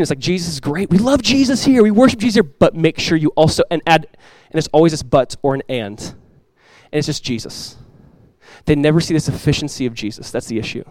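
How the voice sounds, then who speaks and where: A man with a fairly high voice preaches in an earnest, conversational way. He keeps asking his listeners rhetorical questions. The sound is dry and close to the mic. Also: dry recording, low-quality recording. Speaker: pastor. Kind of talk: expository sermon.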